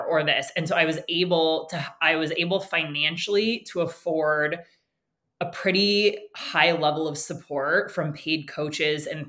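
A woman's voice: sits low, pushed and bright. A woman from Canada, a nervous system coach, answering interview questions candidly, thinking aloud with frequent restarts. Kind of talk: reflective interview talk.

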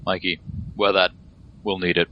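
A man acting a deep, flat, terse leader. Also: normal speed, dull audio, close to an everyday voice. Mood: curt, commanding. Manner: terse, deadpan. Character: gruff, no-nonsense male team leader. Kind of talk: audio drama acting.

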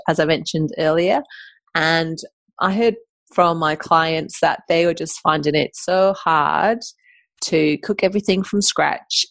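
A woman's voice: on the low side, dry recording. A middle-aged woman with an English accent, hosting a podcast in a chatty, enthusiastic monologue. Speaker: podcast host.

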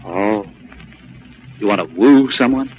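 An actor playing a brash, glib and excitable young heir in a stockbroker's office, cocky and sometimes stammering, in 1940s radio comedy style. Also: male voice, lowish voice, slow pace. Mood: glib, eager. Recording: muffled, noisy old radio broadcast recording.

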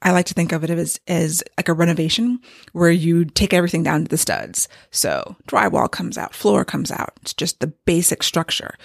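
A woman with a tired, gravelly voice, pitched a bit low and husky from strain, rambles candidly through a writing update. She talks casually at home, and the sound is close and dry. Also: no echo. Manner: candid and rambling, with light laughs. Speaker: novelist giving a writing update. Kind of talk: casual vlog monologue. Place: home with hardwood floors.

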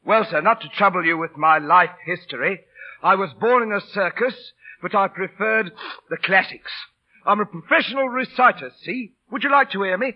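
Dull vintage radio sound carries a high-pitched cheeky male street performer. He is shabby and boastful, talking garrulously with theatrical mock deference. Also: normal speed. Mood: proud, eager. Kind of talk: 1930s-40s radio drama acting. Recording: dull old radio broadcast.

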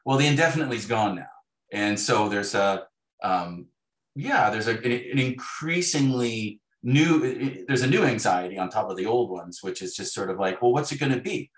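A man in his fifties speaking as an author being interviewed, in a thoughtful, halting way with repeated words. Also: on the low side, animated. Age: 50s. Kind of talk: reflective conversational interview. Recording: echoey, roomy sound.

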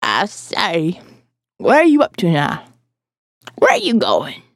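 A woman voicing a croaking, preachy woman of sixty in audio fiction, scolding in folksy rustic speech. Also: fairly low pitch, huge pitch swings, slow, no echo, clean studio sound, voice pushed down, full character voice. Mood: nosy, gruff. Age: 60s. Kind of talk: audio fiction character acting.

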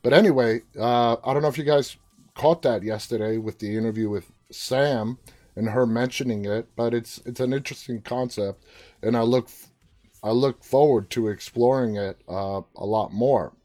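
A man's voice: medium pitch, normal speed, dark tone. A man from New York with a New York accent, hosting a casual live show and chatting as he reads viewer comments. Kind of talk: casual live-stream chat.

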